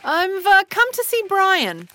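A woman's voice: extremely high pitch, wildly swooping pitch, takes it slowly, bright, forceful tone, faint music behind, exaggerated voice. An actress playing a polite, proper, determined middle-aged mother in an audio drama, speaking with prim formality. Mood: polite, flustered.

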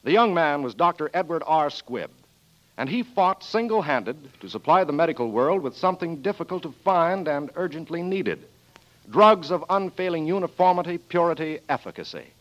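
A man's voice: on the high side, expressive, moderate pace, fairly bright tone. Vintage American radio announcer, male, polished and formal, sweeping intonation, muffled broadcast sound. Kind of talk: scripted 1940s sponsor narration and announcing.

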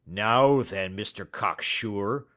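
A man voicing a belligerent, gruff poultry salesman who talks loud and combative in an audiobook story. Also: mid-range voice, sing-song, slow pace, voice pushed up.

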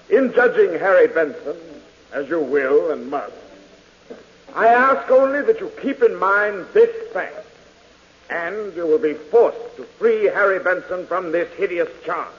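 An actor in an American 1940s radio drama playing a formal, declamatory defense lawyer in court. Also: male voice, fairly high pitch, animated, measured pace. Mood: solemn oratory. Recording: muffled, reverberant old radio recording.

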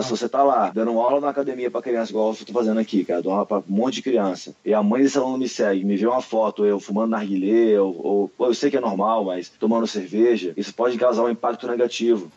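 A man who coaches martial arts, a guest talking in a relaxed, plainspoken way. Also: lowish voice, dull audio. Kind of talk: casual conversation.

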